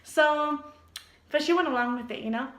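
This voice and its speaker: A young woman telling a storytime tale in a vlog, animated and giggly, acting out voices as she goes. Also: high voice, normal speed. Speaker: vlogger. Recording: echoey room.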